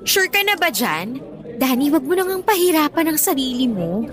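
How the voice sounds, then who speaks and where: A spoiled teenage schoolgirl with a Filipino accent in a radio drama. Her voice is very high and swings up and down with bratty, taunting insolence. Music plays underneath. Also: very animated, moderate pace, a bit bright. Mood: taunting, scheming. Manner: bratty, taunting, mixes English into Tagalog. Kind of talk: Tagalog radio drama acting.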